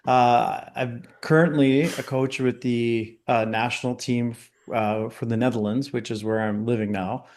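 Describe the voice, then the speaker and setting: A Canadian-accented man talks casually as a podcast guest, rambling along and stopping often for fillers. His voice sits at an ordinary middle pitch, and he sounds relaxed and conversational. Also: measured pace, few pauses, a little hiss or hum. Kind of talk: casual interview.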